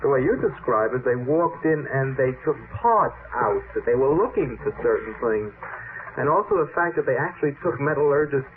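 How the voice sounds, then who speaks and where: Mid-pitched man on hissy old radio. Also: lively intonation, moderate pace, phone-quality sound. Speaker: radio panelist. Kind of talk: explanatory radio panel talk. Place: radio studio.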